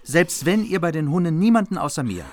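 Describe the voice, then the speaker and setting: An actor playing a noble margrave who speaks as a formal, earnest envoy in a radio play. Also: male voice, a little high, animated, a little fast, darkish tone, lightly acted. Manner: solemn, formal courtly speech. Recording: music under the voice.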